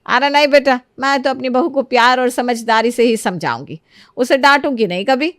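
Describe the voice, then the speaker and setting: A woman voicing a kindly, motherly middle-aged lady who reasons gently in a moral storybook tale. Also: high voice, normal speed, dry recording, studio quality. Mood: earnest, caring. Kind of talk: animated moral storybook dialogue.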